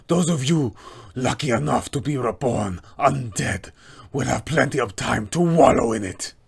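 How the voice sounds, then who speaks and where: A man's voice: mid-range voice, heavily acted. A man voicing an arrogant, menacing vampire lord with formal, theatrical villain speech for a tabletop game. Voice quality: husky, gravelly, sometimes whispered.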